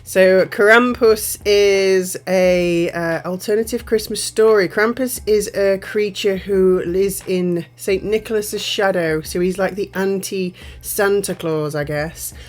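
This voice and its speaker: A woman's voice: medium pitch, steady tone, slow pace, few pauses. A woman, a little nasal and bunged up from a cold, chatting casually through a nail art tutorial voiceover. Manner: chatty, rambling, self-correcting. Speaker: nail art channel host.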